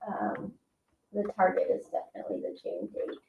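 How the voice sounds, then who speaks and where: A woman's voice: moderate pace, slight background noise. American woman in a roomy meeting space. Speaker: staff member answering at a meeting. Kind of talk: informal meeting discussion. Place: indoor town meeting room. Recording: roomy, dull meeting room sound.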